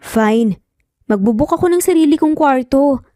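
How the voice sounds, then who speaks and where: A woman's voice: high voice, animated, moderate pace, dark tone, dry recording, clean studio sound, faint music behind, voice pushed up. A woman voicing an easily flustered young mother, fretful and indignant, in a narrated story. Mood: annoyed.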